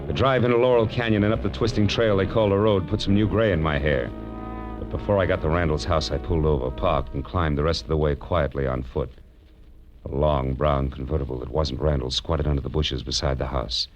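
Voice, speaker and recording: An actor playing a wry, tough private detective narrating his case in clipped, deadpan 1940s radio drama style. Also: extremely low pitch, brisk, dull audio. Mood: wry, tense narration. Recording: vintage radio recording with music behind.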